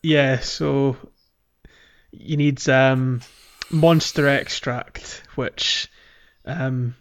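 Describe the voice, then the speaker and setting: A man's voice: mid-range voice, fairly even intonation, drawn-out words. Man, thirties, podcast host, mid-range voice, casual gaming conversation. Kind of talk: casual playthrough chat.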